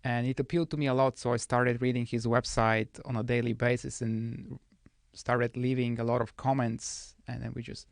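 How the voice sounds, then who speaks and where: Man, from Bulgaria, thirties, gravelly, measured, podcast guest, dry studio. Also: mid-range voice, flat delivery, dry recording. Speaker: guest trader. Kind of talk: podcast interview.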